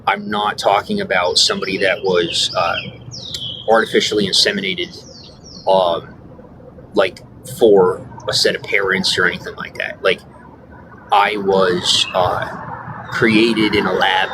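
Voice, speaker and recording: A man's voice: low voice, level tone, measured pace. A man talking in a meandering personal monologue, earnest and insistent, with many fillers and restarts. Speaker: vlogger. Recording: clear background noise with birdsong and passing traffic.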